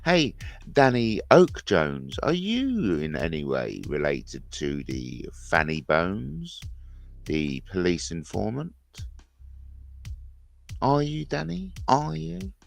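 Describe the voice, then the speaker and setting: A man's voice: deep voice, wildly swooping pitch, slow, gravelly, no room sound, faint music behind. A man in his fifties hosting a rambling, jokey livestream chat, repeating himself and mentioning his speech impediment. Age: 50s. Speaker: livestream host.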